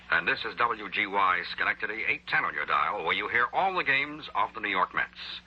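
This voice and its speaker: A man's voice: on the low side, expressive, moderate pace, breathy edge. An American man reading a station identification as a local radio announcer. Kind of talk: station identification with time and weather. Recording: dull, faintly noisy 1960s radio.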